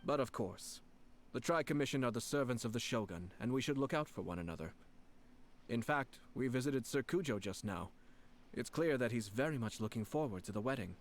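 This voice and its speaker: A man playing a courteous, formal young nobleman and clan head in a fantasy video game, speaking in a composed, measured way. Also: medium pitch, expressive, slight background noise, lightly acted. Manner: formal, measured, politely calculating.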